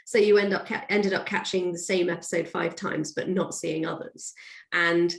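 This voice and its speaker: A woman's voice: fairly low pitch, steady tone, normal speed, creaky. A woman speaking as a podcast guest in a casual, chatty, hedging way, recorded in an echoey room. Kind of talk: casual chat.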